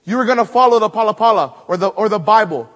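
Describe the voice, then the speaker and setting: A man from Hawaii preaching at a conference, building to loud emphatic peaks and dropping to hushed asides. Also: animated, quick pace, big-room sound. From Hawaii (Maui). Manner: emphatic, swings between loud rising build-ups and hushed low asides. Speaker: guest preacher. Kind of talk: conference sermon with storytelling.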